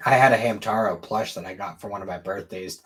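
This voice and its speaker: A man's voice: lowish voice, quick pace, a bit bright. A man co-hosting a podcast, talking casually and offhandedly in an informal conversational way. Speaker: podcast co-host. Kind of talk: casual chat about anime and games.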